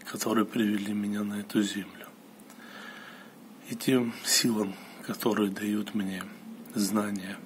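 A man giving a personal monologue, recounting an experience solemnly in short, halting phrases. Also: fairly low pitch. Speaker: storyteller recounting a mystical experience. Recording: clear steady background noise.

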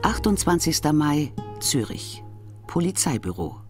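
An actor as a terse announcer giving scene headings in a radio drama. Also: male voice, fairly high pitch, very animated, measured pace, soft background music. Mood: neutral.

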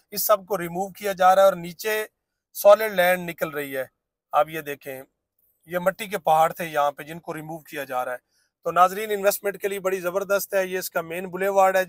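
A man's voice: sits high, no echo. A man giving a fluent, salesy narrated site tour from inside a moving car. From Pakistan. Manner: fluent salesman patter with filler phrases. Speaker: real estate vlogger.

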